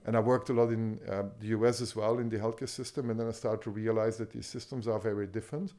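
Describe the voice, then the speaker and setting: Hesitant Belgian-born man, dark low voice. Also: steady tone, fast talker. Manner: hesitant, many ums and restarts. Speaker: speaker on medical AI. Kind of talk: conference talk.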